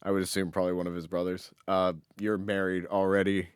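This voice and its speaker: A man with a fairly low voice co-hosts a podcast. He talks casually and bluntly, swearing now and then, in a dry recording with little room sound. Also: completely flat delivery, fast talker, smooth, no room sound. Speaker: podcast co-host. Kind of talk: casual banter between friends.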